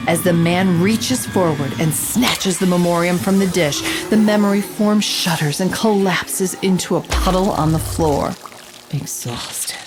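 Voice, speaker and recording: A woman playing a bold reporter, narrating a mystery in a measured, suspenseful storytelling style. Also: on the low side. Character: bold female holo-caster reporter recounting a mystery. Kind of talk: scripted dramatic narration over music. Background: prominent music.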